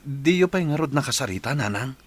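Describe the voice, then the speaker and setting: A man's voice: medium pitch, expressive, brisk, slightly rough, soft background music. A man acting an earnest, caring young husband in an Ilocano radio drama. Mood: concerned.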